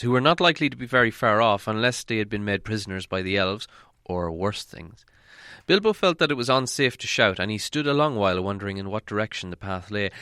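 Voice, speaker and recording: Casting: male audiobook narrator, lowish pitch with a crisp forceful edge, theatrical fantasy storytelling. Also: dry recording, clean studio sound. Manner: theatrical, with deliberate dramatic pauses. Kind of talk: dramatic scripted storytelling.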